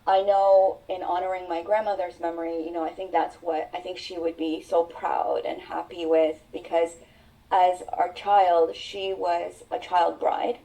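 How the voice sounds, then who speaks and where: A woman whose native tongue is Mandarin shares her story as a podcast guest. Her voice sits low and mellow and sounds set back from the microphone. She speaks earnestly, drawing out her phrases. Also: little pitch movement. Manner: earnest, reflective, drawn-out phrasing. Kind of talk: personal storytelling in an interview. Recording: voice sounds distant from the mic.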